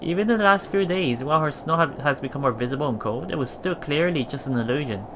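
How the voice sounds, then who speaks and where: A man reading a visual novel aloud for a Let's Play, expressive and dramatic in first-person narration. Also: fairly high pitch, quick pace, background noise. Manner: expressive, dramatic, with murmured reactions.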